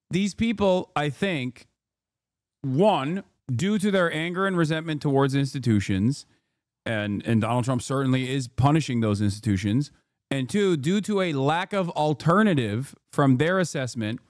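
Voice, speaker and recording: A man in his thirties, speaking a little high, reacts to news footage on a livestream. He is sarcastic and swears freely, and his close mic sounds dry with no room around it. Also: dry recording. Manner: sarcastic, profane, talks over clips. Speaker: streamer reacting to news clips. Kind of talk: casual livestream commentary.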